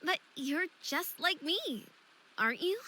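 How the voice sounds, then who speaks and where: A woman's voice: very high voice, sing-song, slow, bright, forceful tone, exaggerated voice. An actress playing a young fairy woman in a visual novel game, proud and sensitive with a playful streak, speaking very expressively. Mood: friendly, eager. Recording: background noise and ambience.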